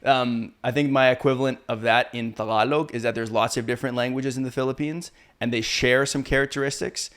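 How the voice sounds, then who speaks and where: A man from Canada hosting a casual interview, relaxed and chatty. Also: medium pitch, fairly quick, hardly any pauses. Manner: relaxed, chatty, laughs often. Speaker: podcast host.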